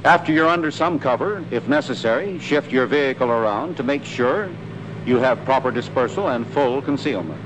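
An American man narrating a 1940s military training film in a scripted, instructive style, with clear imperative delivery. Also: mid-range voice, animated, normal speed. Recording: noisy 1940s film soundtrack.

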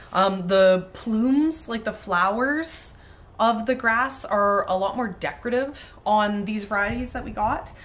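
A woman's voice: fairly high pitch, fairly bright tone. A woman in her thirties with a Canadian accent, chatting to camera in a casual, rambling and enthusiastic way as she unboxes stock in a garage. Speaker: flower farmer and vlogger. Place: indoors in a large cluttered garage workshop.

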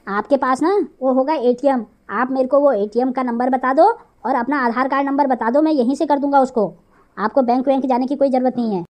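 A woman's voice: high voice, brisk, darkish tone, close to an everyday voice. A woman voicing a pushy male phone scammer who poses as an officious bank manager in a comic cartoon. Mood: persuasive, pressing. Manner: persistent, wheedling, officious.

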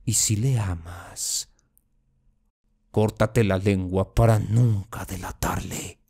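Vengeful, anguished duke for classical stage tragedy, played by a man: lowish register, very unhurried delivery, Latin American Spanish accent, grave and declamatory. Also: fairly even intonation, mellow, husky, heavily acted. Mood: bitter contempt. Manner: solemn, declamatory, deliberate. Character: vengeful, anguished Jacobean duke, a wronged brother. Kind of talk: classical theatrical verse monologue.

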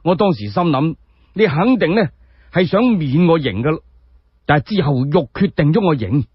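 A man's voice: a little high, expressive, normal speed, breathy edge, dry recording. A man with a Cantonese accent voicing an earnest, grateful former convict in a solemn, respectful manner, as part of told storytelling. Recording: dry, dull lo-fi audio.

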